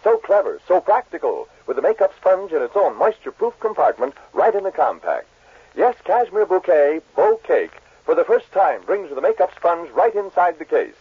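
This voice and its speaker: A man delivers 1940s American radio ad copy with a very animated pitch. His voice is somewhat high and husky. The recording is tinny and narrow, like an old broadcast. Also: moderate pace, no room sound. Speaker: commercial announcer. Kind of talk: 1940s radio advertising read.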